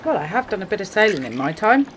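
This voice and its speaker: A woman's voice: medium pitch, very animated, fast talker, a little hiss or hum, lightly acted. An actress playing a gracious, spirited woman campaigner with a refined upper-class English accent in a radio play. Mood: bright, friendly. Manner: polite, refined, encouraging.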